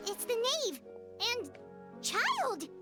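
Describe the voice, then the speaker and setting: A tiny floating fairy girl from a video game, squeaky and extremely high. She is perky and nosy, swooping wildly in pitch, with loud jingly music behind her. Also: wildly swooping pitch, extremely slow, heavily acted. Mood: surprised, excited. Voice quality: squeaky, childlike. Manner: perky, nosy, refers to herself by name. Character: tiny excitable floating fairy companion girl, childlike, chatty.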